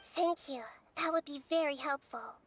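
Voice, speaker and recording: A woman voicing a soft, high child goddess. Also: normal speed, lightly acted. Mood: earnest. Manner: calm, soft-spoken, thoughtful. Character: gentle wise young goddess in girl form. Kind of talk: video game voice acting. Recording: game audio with background music.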